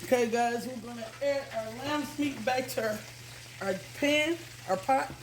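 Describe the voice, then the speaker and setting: A woman hosting a casual home cooking vlog, chatty and repetitive, her voice slightly hoarse from a recent illness. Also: on the high side, measured pace, slight background noise, poor audio. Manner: chatty, says "guys" constantly, repeats herself. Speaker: cooking channel host. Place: home kitchen. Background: food sizzling in a pan.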